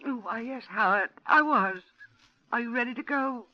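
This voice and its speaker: Here is a woman acting out a frightened older woman from a vintage radio drama, her steely voice straining with fear. She pitches high and pleads. The sound is muffled and lo-fi. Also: normal speed, lightly acted. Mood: anxious. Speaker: radio actress.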